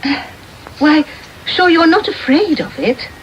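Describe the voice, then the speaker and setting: A woman's voice: high voice, lively intonation, unhurried. An actress playing a kindly older housekeeper in a radio drama, motherly, fussing and homely. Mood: flustered. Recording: dull, faintly hissy radio tape.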